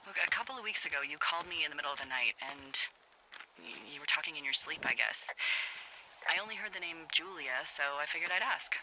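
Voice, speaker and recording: A veteran woman lookout in a narrative video game, heard over a two-way radio with noticeable ambient noise behind her. She is teasing and warm-hearted, with an easy, conversational delivery that stays natural and unforced. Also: low-pitched, animated, quick pace, heavily acted, poor audio. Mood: curious, gentle.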